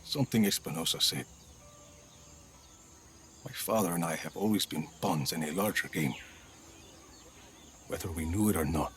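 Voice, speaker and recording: A man's voice: low voice, moderate pace, pauses often. A man voicing a game's action hero, speaking tersely with dry determination. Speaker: game protagonist. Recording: game cutscene over loud score.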